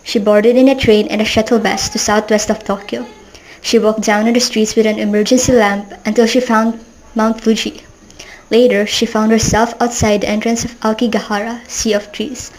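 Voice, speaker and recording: A young woman narrating an amateur radio drama in an earnest, steady way. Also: a little high, slight background noise, rough recording. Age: young adult. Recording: background music.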